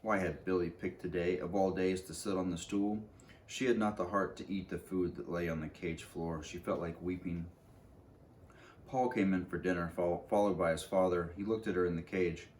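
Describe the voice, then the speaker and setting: A man's voice: low-pitched, fairly even intonation, moderate pace, a little mellow. A man reading a storybook aloud as narrator, informally, sometimes stumbling and rereading, with some background noise.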